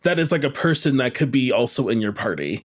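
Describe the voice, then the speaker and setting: A man's voice: medium pitch, very animated, a little fast. A man co-hosting a casual, joking panel chat in a wry, conversational way. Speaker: co-host.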